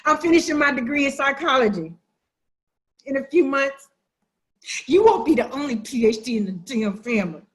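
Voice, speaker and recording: A woman's voice: sits high, expressive, normal speed, pauses often. A woman acting a hurt, defiant, sassy sister who makes emphatic accusations in a dramatic play reading. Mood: indignant, proud. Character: wounded, defiant younger-minded sister confronting her sibling.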